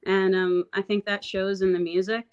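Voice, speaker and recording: A woman singer-songwriter with a raspy voice, talking reflectively about her music in a casual interview, full of fillers and restarts. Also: mid-range voice, little pitch movement, normal speed, darkish tone. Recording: dull lo-fi audio.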